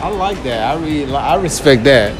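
A man's voice: medium pitch, animated. A man from Nigeria hosts a casual interview on the shop floor. He draws his questions out, laughs easily, and speaks into a handheld phone. Music plays steadily behind him. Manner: friendly, laughing, drawn-out questions. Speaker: street interviewer host. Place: indoor clothing store and outside a store. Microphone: handheld phone held toward guests. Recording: background music under voices.

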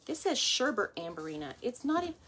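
A woman talks to her viewers in a bright, sharp, fairly high voice, calling them "y'all." She speaks from a workroom, picked up by a camera above her, with faint noise behind. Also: sing-song, fast. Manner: chatty, addresses viewers as "y'all". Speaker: vlog host. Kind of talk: casual haul unboxing. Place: indoor workroom with shelves.

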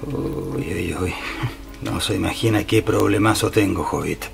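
An actor playing an anxious, devout, absent-minded shopkeeper in a Chilean radio drama. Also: male voice, sits low, fairly even intonation, normal speed, vocal fry, roomy sound, big-room sound, over music. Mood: flustered.

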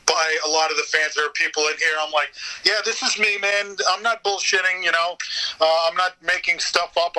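A man chatting casually as a podcast guest, animated and talkative, with some swearing. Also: a little high, low-quality recording. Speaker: guest, voice actor.

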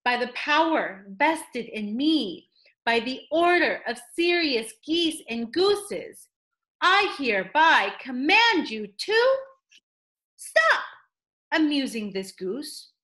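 A woman's voice: sits high, sing-song, slow, pushed and bright. A woman reading a picture book aloud in a theatrical storytelling style, addressing a child listener with dramatic emphasis.

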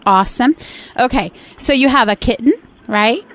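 A woman on a prank call with a sugary, needling manner, pitched a little above most women. She keeps up a sweet phone-survey act while teasing the person she has on the line. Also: moderate pace, fairly bright tone. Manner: sugary, teasing, calls her honey and sweetheart. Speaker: radio co-host making a prank call.

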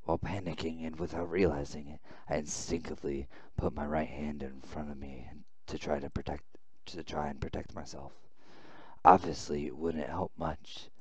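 A man voicing a weary, fearful young man who narrates his ordeal, reading visual novel text with halting, dramatic phrasing. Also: very deep voice, fairly even intonation, darkish tone, voice pushed down. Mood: uneasy, hushed.

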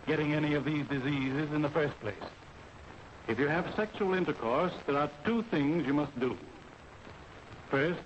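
A man with an American accent lecturing in a formal, authoritative way as an army medical officer in a 1940s training film. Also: fairly high pitch. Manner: formal, authoritative, deliberate instruction. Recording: dull, noisy 1940s film soundtrack.

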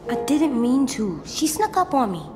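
A woman's voice: sits high, sing-song, normal speed, big-room sound, over music. A woman voicing a small, wary, stubborn little boy in a video game, blunt and speaking in short sentences. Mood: sulky.

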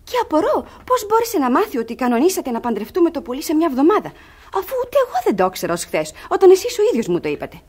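An actress playing a crafty young ward in a radio theatre comedy, coaxing and feigning wounded virtue with theatrical emphasis. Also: female voice, high-pitched. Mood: indignant, sly. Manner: theatrical, emphatic, artfully expressive.

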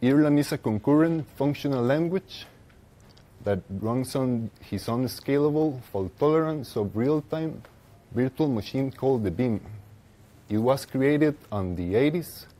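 A young man with a Costa Rican Spanish accent giving a technical presentation as a conference speaker, haltingly, with pauses mid-phrase. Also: medium pitch, animated, mellow. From Costa Rica. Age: young adult. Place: lecture room with seated audience, indoors.

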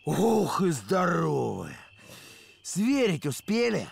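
A man voicing a simple, good-natured talking hunting dog in a cartoon, with a gravelly voice and naive, expressive manner. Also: on the high side, takes it slowly, exaggerated voice. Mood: excited, straining. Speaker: voice actor. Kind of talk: animated cartoon acting.

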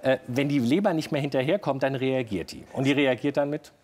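A man in his forties, a doctor, calmly explaining health questions to a host in a television studio, recorded on a lapel mic. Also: mid-range voice, a little fast. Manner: calm, explanatory, plain-spoken. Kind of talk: studio talk show answering viewer questions. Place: TV studio set.

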